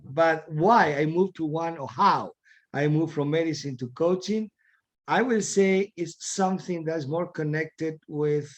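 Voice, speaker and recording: A middle-aged man with an Argentine Spanish accent, talking as an interview guest in a voice pitched a little high for a man. He is thoughtful and unhurried, often repeating a word while he finds the next phrase. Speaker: guest, executive coach. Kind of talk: casual interview talk.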